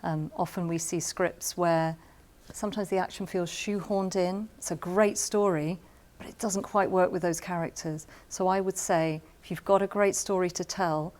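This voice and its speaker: A woman explaining her craft advice in an interview, with measured emphasis. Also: on the low side, steady tone, a little mellow. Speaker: radio drama producer giving writing advice. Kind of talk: interview-style advice to camera.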